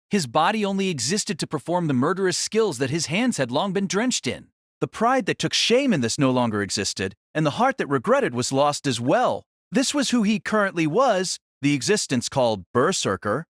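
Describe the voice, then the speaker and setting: Expressive male narrator, solemn and theatrical. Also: a little high, moderate pace, dry recording, studio quality. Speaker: audiobook narrator. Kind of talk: dramatic scripted novel narration.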